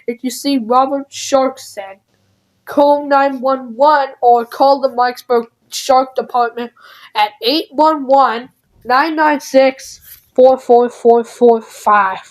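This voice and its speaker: A woman reading out an improvised mock emergency alert in a halting, deliberate way, with stumbles. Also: high voice, fairly even intonation, rough recording.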